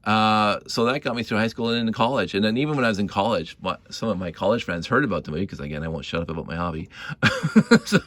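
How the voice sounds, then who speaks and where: Low-voiced middle-aged man reminiscing. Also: animated, fairly bright tone, dry recording. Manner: halting, repeats words; chuckles often, nostalgic. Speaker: hobby video creator. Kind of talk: casual rambling storytelling to camera.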